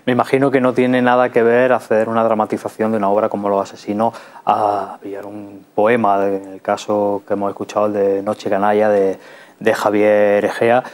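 A man's voice: lowish voice, monotone, a little fast. A man in his thirties with an accent from Spain, hosting a studio interview in a conversational way, on a lapel mic. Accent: Spanish from Spain. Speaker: TV culture show host. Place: small TV studio.

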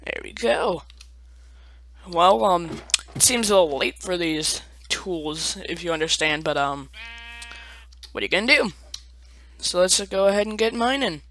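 A man's voice: high-pitched, animated, unhurried, pauses often, a bit bright, a little hiss or hum. Chatty young man narrating gameplay. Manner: chatty, self-mocking, playful sing-song asides. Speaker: let's play gamer. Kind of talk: casual gameplay commentary.

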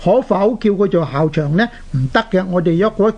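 A radio language teacher of unclear sex with a Hong Kong Cantonese accent, lecturing deliberately in short phrases with drawn-out particles. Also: medium pitch, animated, dull audio. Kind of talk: explanatory radio lecture on Chinese characters.

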